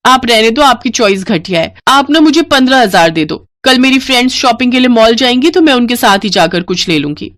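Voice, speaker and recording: A woman's voice: fairly high pitch, expressive, fairly quick, hardly any pauses, fairly bright tone, no room sound, voice pushed up. A woman voicing a vain, scornful young sister in a melodramatic moral story, with a whiny, disdainful manner. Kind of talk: melodramatic storybook character acting.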